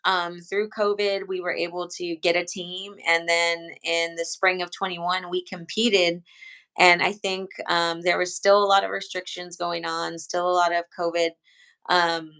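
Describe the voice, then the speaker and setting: Bright, smooth-voiced woman coach. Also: fairly low pitch, level tone, a little slow, low-quality recording. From San Antonio, Texas. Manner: earnest, rambling with many fillers and restarts. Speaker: head coach, interview guest. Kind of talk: casual interview answers.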